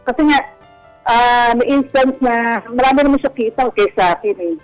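A woman speaks as a caller on a radio advice programme. She shares her own story in a casual way, with halting and broken-up phrasing. Faint music plays underneath. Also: on the high side, no echo, rough recording.

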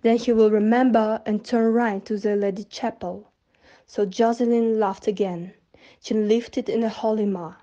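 A woman's voice: fairly high pitch, steady tone, moderate pace, warm tone. A young woman reading literary prose aloud indoors on a lo-fi recording. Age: young adult. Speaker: student reader. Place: indoor room in front of curtains. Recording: dull lo-fi recording.

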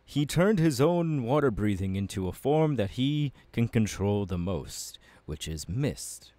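A man playing a confident, fiery anime swordsman mentor in an ASMR audio roleplay, talking to the listener with measured, dramatic pauses. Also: medium pitch, sing-song, dark tone, dry recording. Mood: welcoming, instructive. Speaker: voice actor.

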